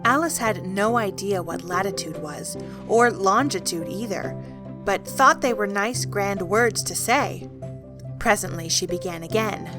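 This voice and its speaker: A woman's voice: medium pitch, a little slow. A woman giving scripted storybook narration as the narrator of an audio drama. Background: music.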